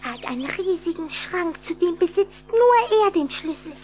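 A woman's voice: very high voice, animated, moderate pace, a little mellow, soft background music. An actress playing a plucky, cheeky little vampire girl in a radio play, pert and coquettish. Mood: coquettish, surprised. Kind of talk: German radio play acting.

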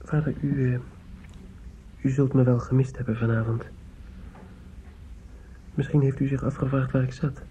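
An actor playing an earnest, respectful young son in a radio drama, speaking hesitantly and deferentially. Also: male voice, mid-range voice, flat delivery, moderate pace, long pauses, mellow, lightly acted. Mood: nervous, earnest. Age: young adult. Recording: muffled, noisy old radio play recording.

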